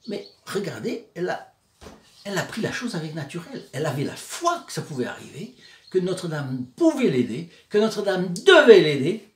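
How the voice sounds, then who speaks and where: A man's voice: high voice, very animated, moderate pace. A man with a Provençal accent preaching and retelling a story, digressive and hesitant, often repeating words. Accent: Provençal southern French. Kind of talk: sermon storytelling. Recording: echoey room.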